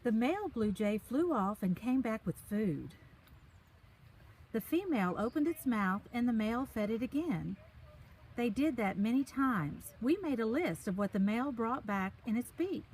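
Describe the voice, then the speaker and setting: Lively high-voiced woman reading to children. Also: normal speed, slightly rough, rough recording. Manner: addresses young listeners directly in simple, encouraging asides. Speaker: children's story reader. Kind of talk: read-aloud of a picture book with chatty asides to children. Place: outdoors on a park trail.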